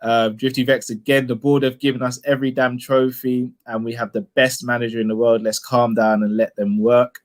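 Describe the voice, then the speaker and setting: A man's voice: mid-range voice, level tone, moderate pace, hardly any pauses. Laid-back man reading comments. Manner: laid-back, chuckling, conciliatory. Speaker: host reading viewer comments. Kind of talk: casual podcast chat, reading comments aloud.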